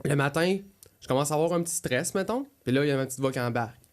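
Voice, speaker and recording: A man's voice: a little high, very fast, slight background noise. A man with a Quebec French accent co-hosting a podcast, talking casually and candidly about himself in colloquial words. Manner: candid, colloquial, acts out inner thoughts. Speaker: podcast co-host. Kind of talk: casual conversational personal testimony.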